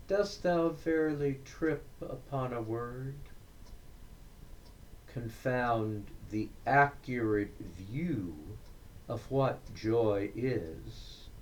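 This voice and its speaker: A man giving a dramatic recitation of Victorian verse, deliberate and declamatory, breaking the lines into short phrases with pauses. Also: mid-range voice, slight background noise. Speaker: reader of poetry.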